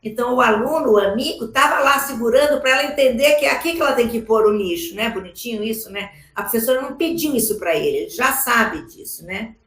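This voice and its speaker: A woman's voice: on the high side, expressive, quick pace, few pauses, a bit bright, reverberant. A woman with a Brazilian Portuguese accent giving an explanatory conference talk about slides, often adding tag questions. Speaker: education speaker. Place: large conference hall.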